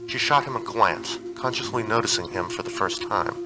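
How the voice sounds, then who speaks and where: A man's voice: lowish voice, normal speed, music in the background, rough recording. A man reading a piece of fiction aloud as a narrator, in a scripted audiobook style.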